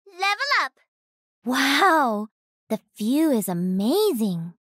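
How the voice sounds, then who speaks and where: An actress playing a cocky, playful young pro-gamer mech pilot in a video game, with peppy, punchy delivery. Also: female voice, high voice, very animated, slow pace, a bit bright, dry recording, studio quality, full character voice. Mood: alert, brisk. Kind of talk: video game character voice lines.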